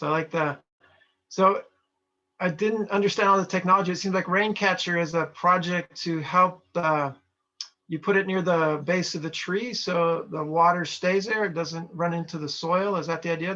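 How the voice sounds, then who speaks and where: Bright-voiced man on a lo-fi call. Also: fairly high pitch, fairly even intonation, moderate pace. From Montana, USA. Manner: chatty, reads chat messages aloud and comments. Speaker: trainer. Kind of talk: casual chat with online participants. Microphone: video call. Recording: lo-fi video call audio.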